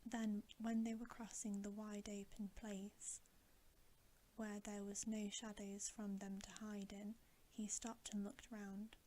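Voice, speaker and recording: A woman whispering a storybook narration in a hushed, gentle ASMR style. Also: medium pitch, level tone, takes it slowly, slight background noise, low-quality recording. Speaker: ASMR channel host reading a bedtime story.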